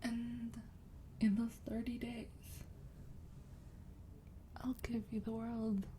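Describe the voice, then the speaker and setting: A woman playing a sweet, wealthy vampire in a soft-spoken ASMR roleplay, breathy and often near a whisper, gently coaxing with a slightly haughty air. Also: on the high side, little pitch movement, slow, recorded from a distance, a little hiss or hum.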